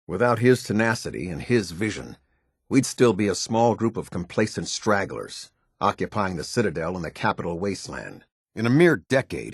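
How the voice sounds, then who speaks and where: A man voicing a stern, disciplined military captain in a video game, with a husky voice and a clipped, commanding, formal delivery. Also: on the low side, no echo, clean studio sound. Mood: solemn, proud. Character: stern male military captain, disciplined, exacting, loyal to his order.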